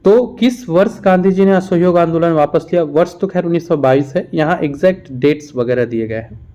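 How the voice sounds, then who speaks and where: A man gives an exam-prep lesson, posing questions and then explaining each answer. His voice sits a little high for a man and has a soft, mellow tone. The recording is somewhat dull and lo-fi. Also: a little fast. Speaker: teacher, exam-prep instructor. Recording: dull, muffled lo-fi sound.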